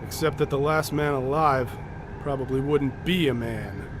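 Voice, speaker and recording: A man's voice: mid-range voice, moderate pace, noisy background, close to an everyday voice. An actor playing a blunt, sardonic expedition man in an audio drama, speaking drily. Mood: dry, wary.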